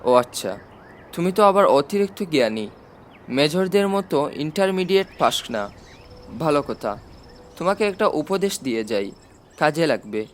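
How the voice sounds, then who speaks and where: A man's voice: a little high, big pitch swings, normal speed, long pauses. A man voicing a self-satisfied elderly president with chatty, dry humour in an audiobook. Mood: smugly advising. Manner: chatty, drily humorous. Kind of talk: audiobook character dialogue.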